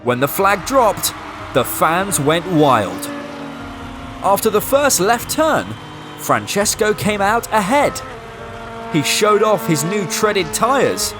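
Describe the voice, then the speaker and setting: A man narrates a children's storybook at an unhurried pace. His pitch moves up and down in a lively way, and music plays clearly behind him.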